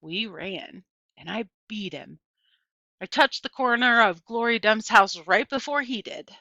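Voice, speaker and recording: A woman's voice: fairly high pitch, lively intonation, a little slow, sharp tone, no echo. A woman reading a first-person children's novel aloud as scripted narration. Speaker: audiobook reader. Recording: dull, lo-fi, dry close recording.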